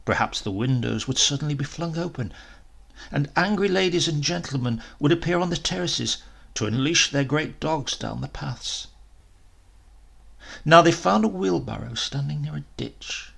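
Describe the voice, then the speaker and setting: Expressive male storyteller with a gravelly edge. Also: fairly high pitch, normal speed. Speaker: story reader. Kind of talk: expressive literary story narration.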